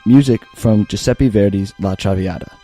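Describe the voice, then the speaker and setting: A man reading scripted closing credits as an announcer, in a husky voice with music behind him. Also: lowish voice, moderate pace, dark tone.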